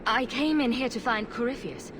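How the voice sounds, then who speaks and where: An actress playing a resolute, civil young adventurer in a video game, speaking in a direct, questioning way. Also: female voice, fairly high pitch, normal speed, noisy background, lightly acted. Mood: determined. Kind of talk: dramatic video game acting.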